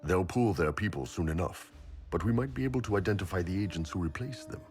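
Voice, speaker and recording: An actor playing a big, gruff horned mercenary captain in a video game, blunt, wry and casual. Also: male voice, deep voice, animated, quick pace, darkish tone, faint music behind. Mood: wry, matter-of-fact.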